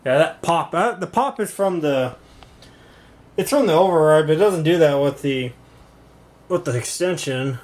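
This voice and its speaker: A man's voice: a little high, lively intonation, normal speed, pauses often, darkish tone. A man giving a casual, informal walkthrough demonstration as a video host, rambling in stop-start phrases. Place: home rooms and workshop. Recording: clearly noisy room with clicks.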